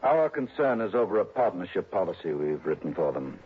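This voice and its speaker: A man's voice: sits low, very animated, brisk, phone-quality sound. A man playing a fussy, stingy, pompous insurance executive in 1960s radio drama style. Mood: grave, businesslike. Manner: prim, precise, pompous. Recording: thin, noisy old radio recording.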